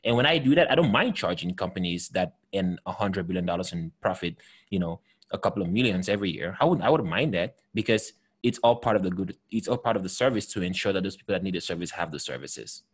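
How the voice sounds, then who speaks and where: A man's voice: low-pitched, animated, fast talker, husky. A man in his thirties from Cameroon, hosting a video call chat with an earnest, emphatic manner and frequent restarts. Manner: earnest, emphatic, many restarts and repeated words. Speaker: host. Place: home room with bookshelves. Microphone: headset with desk microphone.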